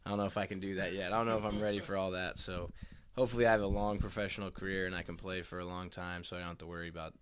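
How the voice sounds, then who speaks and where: A young man, a college basketball player, answering interview questions in a halting way, often repeating words as he goes. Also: deep voice, little pitch movement, fairly bright tone, slight background noise. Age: young adult.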